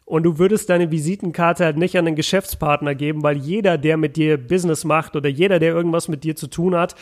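A young man chats his way through a podcast discussion. His voice sits a bit high, and he keeps restarting sentences, filling gaps and dropping English words in. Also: steady tone, fast. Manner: chatty, many fillers and restarts, mixes English words in.

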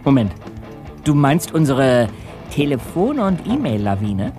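A man's voice: medium pitch, huge pitch swings, measured pace, dark tone, soft background music. A man playing a good-natured, easily baffled teenage detective in a German audio drama, with a somewhat hesitant delivery. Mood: curious.

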